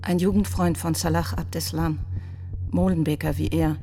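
Cool matter-of-fact woman reading case files, dark lowish voice, radio play. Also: level tone, moderate pace. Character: factual female reader of defendants' profiles. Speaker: narrator.

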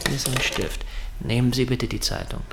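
A man plays a menacing captor in a radio drama, interrogating with cool insistence. His voice sits at a middle pitch, over faint background noise. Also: normal speed, gravelly, close to an everyday voice. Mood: probing. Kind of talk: German radio drama acting.

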